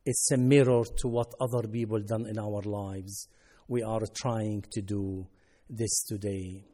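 A man from Syria, a native Arabic speaker, giving an earnest personal testimony in halting non-native English. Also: fairly low pitch, flat delivery, dark tone. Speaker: ministry worker.

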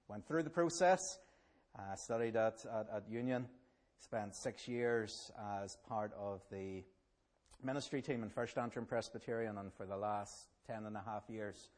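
A middle-aged Northern Irish man, a minister, telling his life story at a public event in a halting way that often repeats words. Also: lowish voice, darkish tone. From Northern Ireland. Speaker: Presbyterian minister. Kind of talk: personal testimony at a public event.

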